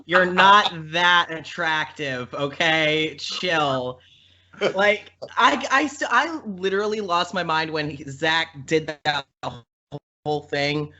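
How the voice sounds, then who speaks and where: A man chatting casually as a podcast guest, animated and opinionated, with halting restarts. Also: on the high side, lo-fi sound. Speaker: podcast guest, audio drama voice actor. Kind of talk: casual interview chat.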